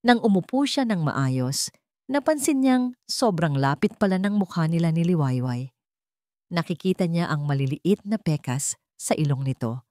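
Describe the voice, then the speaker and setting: A woman's voice: fairly low pitch, expressive, normal speed, warm tone, no room sound, studio quality. A woman with a Filipino accent narrating a scripted dramatic story.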